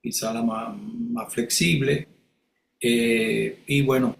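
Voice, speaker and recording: Venezuelan man, 60s, unhurried halting guest, rough gravelly tone, roomy echo. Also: medium pitch, fairly even intonation. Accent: Venezuelan Spanish. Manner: halting, heavy fillers, thoughtful. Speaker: guest, real estate appraiser. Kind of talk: casual interview answers. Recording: echoey room, faint background noise.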